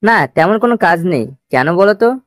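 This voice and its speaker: A man's voice: sits high, expressive, normal speed, no room sound, studio quality. A man playing a casual, familiar office manager and loyal best friend in an audio love story, a little bossy. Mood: casual, friendly.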